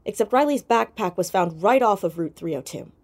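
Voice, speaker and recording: A woman playing a sharp, persistent fourteen-year-old girl detective in an audio drama, questioning directly. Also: medium pitch, lively intonation, fairly quick. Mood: probing, assertive. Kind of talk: audio drama acting, naturalistic.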